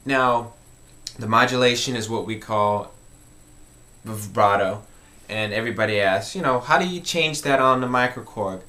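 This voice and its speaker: A man's voice: fairly low pitch, normal speed, pushed and bright. A man hosting an informal music tutorial, explaining step by step in a conversational way and repeating key points.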